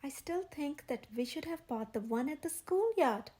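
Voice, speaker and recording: A woman reading the lines of a gentle, cautious male animal friend in a storybook, soft and doubtful. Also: sits high, lively intonation, moderate pace.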